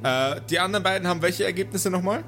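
A man with a fairly high voice runs an improvised tabletop game as its game master. He narrates in mock-formal storyteller phrases. Music plays clearly underneath him. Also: quick pace, fairly bright tone. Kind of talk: live improvised tabletop role-play narration. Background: prominent background music.

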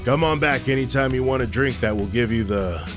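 An actor playing a wry, deadpan bartender who hosts spooky tales in a comedy horror radio play. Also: male voice, mid-range voice, a little fast, over music, natural voice.

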